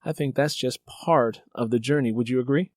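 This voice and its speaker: A man with a mid-range voice hosts a conversational author interview. He rambles, circling back through his points like an animated storyteller. The sound is dry, with no room around it. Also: medium pitch, moderate pace, dry recording. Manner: animated storyteller who acts out remembered exchanges; rambling, repeats "you know". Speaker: interviewer and novelist.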